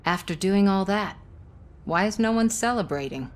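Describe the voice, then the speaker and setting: A woman's voice: medium pitch, animated, normal speed, lightly acted. A woman playing a cynical, ambitious news reporter in a video game, sardonic and flippant. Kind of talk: video game cutscene acting.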